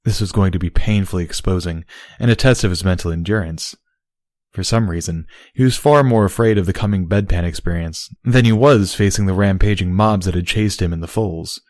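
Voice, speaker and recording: A man's voice: low voice, fairly quick, darkish tone, dry recording, clean studio sound. A man reading a scripted fantasy story as its narrator. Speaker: audiobook narrator.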